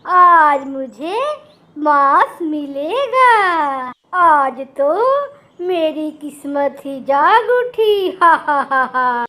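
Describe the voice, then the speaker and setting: A wicked, hungry bird of prey from a cartoon story, voiced by a woman. The voice is high and loud, stretching out words slowly in a gloating, cackling villain's manner. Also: lively intonation, heavily acted.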